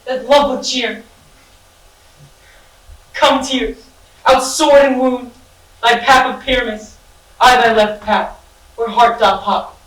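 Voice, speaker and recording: A hammy, lovesick young hero, played by a woman in a comic stage play. He declaims slowly and theatrically. The sound is distant and echoey, with faint noise underneath. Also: a little high. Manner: declaiming, mock-tragic, laughing through lines. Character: lovesick young hero Pyramus, bombastic and overdramatic. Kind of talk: amateur stage comedy acting.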